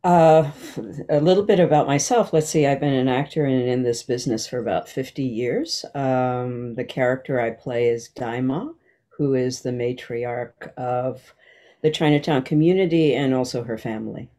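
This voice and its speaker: A woman's voice: very deep voice, normal speed, dark tone. An older woman, around her seventies, introducing herself conversationally as an actress and interview guest.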